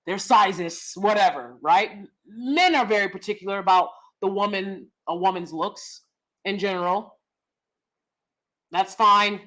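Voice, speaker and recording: A young adult woman hosting a casual commentary vlog, loud and blunt, cursing freely. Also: medium pitch. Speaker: vlog host.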